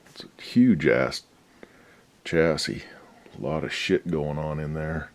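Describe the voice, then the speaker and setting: A man's voice: very deep voice, slow, a little mellow. A man restoring an old radio at his workbench, giving rambling, folksy commentary with a lot of swearing. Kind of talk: casual workshop commentary.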